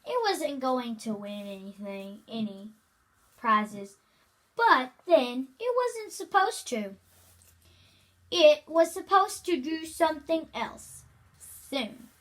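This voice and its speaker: A woman's voice: high-pitched, very animated, slow pace, long pauses. A female amateur reader reads a children's novel aloud with casual asides, stumbling over words and repeating them. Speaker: amateur reader hosting a read-aloud video.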